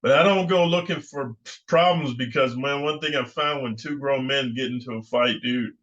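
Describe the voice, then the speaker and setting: Assertive older man, bright tone. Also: mid-range voice, normal speed. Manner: assertive, rambling with fillers and restarts. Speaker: YouTube channel host. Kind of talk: casual commentary monologue. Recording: muffled lo-fi recording.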